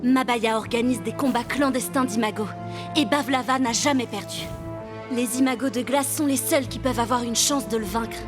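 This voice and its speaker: A woman's voice: fairly high pitch, normal speed, over music, close to an everyday voice. A woman voicing a friendly, knowledgeable young girl who guides visitors, cheerful and explanatory, in a children's cartoon. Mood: wary. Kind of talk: animated cartoon dub.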